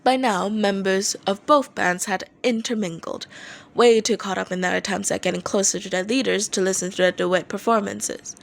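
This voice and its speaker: A woman's voice: medium pitch, fairly even intonation, moderate pace, creaky. A woman reading a scripted story aloud as its narrator. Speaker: podfic reader.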